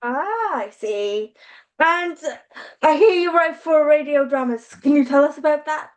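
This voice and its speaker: High-voiced woman hosting an interview. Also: animated, measured pace. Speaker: show host interviewing a guest. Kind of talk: conversational interview.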